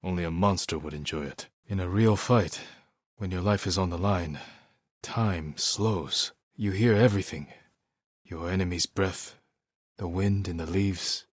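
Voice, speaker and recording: An actor playing a stoic, honorable young samurai warrior in a video game, speaking in a measured, terse and earnest way. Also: male voice, deep voice, no room sound, clean studio sound. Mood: solemn, reflective.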